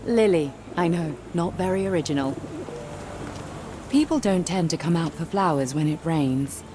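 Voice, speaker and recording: An actress playing a polite, gently wry, reserved flower seller in a scripted game. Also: female voice, low voice, lively intonation, normal speed, background noise.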